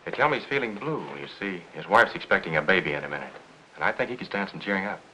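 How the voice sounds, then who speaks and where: Brisk, low-voiced American navy doctor. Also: male voice, expressive, bright, forceful tone, slight background noise, rough recording. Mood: affable. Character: busy, affable young navy doctor. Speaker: film actor. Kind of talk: 1950s Hollywood film acting.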